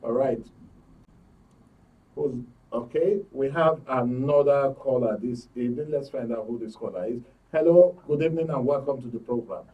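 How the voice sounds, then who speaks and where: A man's voice: mid-range voice, moderate pace, warm tone. A man with a Nigerian accent hosting a live radio phone-in, instructing callers in an orderly way. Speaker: radio host. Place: radio studio. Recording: dull, distant studio sound.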